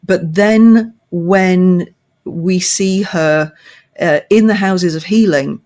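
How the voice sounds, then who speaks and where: An older woman, a podcast guest, discussing literature thoughtfully with measured, emphatic phrasing and deliberate pauses. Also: fairly low pitch, a little mellow. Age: older, past fifty.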